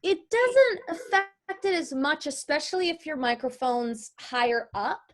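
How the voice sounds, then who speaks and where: A woman's voice: high voice, lively intonation, a little slow. A woman teaching an informal online lesson, explaining and answering questions in a conversational way. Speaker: voice-over artist teaching a lesson.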